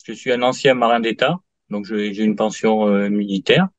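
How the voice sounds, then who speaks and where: A man in his late fifties giving a halting testimonial in an interview, full of fillers and restarts. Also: lowish voice, steady tone, no room sound. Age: 50s. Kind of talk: hesitant interview answers. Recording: dull lo-fi dry sound.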